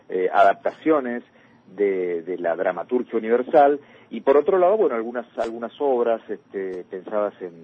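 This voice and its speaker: A man's voice: on the low side, slightly rough, narrow, tinny audio. A man with a Rioplatense Argentine accent hosting a radio interview about past programming. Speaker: radio host. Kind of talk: radio interview talk, reading out old programme listings.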